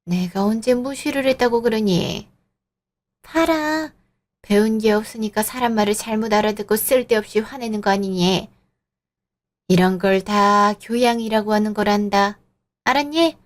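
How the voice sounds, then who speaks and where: A woman's voice: mid-range voice, moderate pace, lightly acted. Sneering older woman, condescending, lecturing, storybook drama, read by a woman. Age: 60s. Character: snobbish older woman professor, condescending mother-in-law. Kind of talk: audio drama reading.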